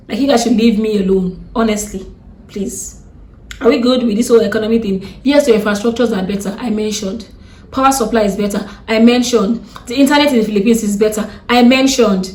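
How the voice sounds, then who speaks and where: Fast-talking Nigerian woman, blunt and fed up. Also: fairly high pitch, a little mellow, echoey room. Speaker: vlogger. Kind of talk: ranting vlog to camera.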